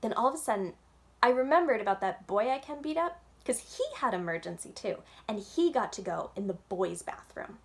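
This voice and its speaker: A woman's voice: medium pitch, big pitch swings, fairly quick, creaky. A woman reading a children's book aloud expressively, as a library storyteller, with dramatic, childlike first-person narration.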